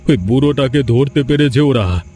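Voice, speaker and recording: A man's voice: mid-range voice, animated, moderate pace, dark tone, soft background music, lightly acted. A man voicing a commanding village master in a Bengali-style cartoon drama, a grieving father bent on revenge, speaking melodramatically. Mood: anguished, pleading.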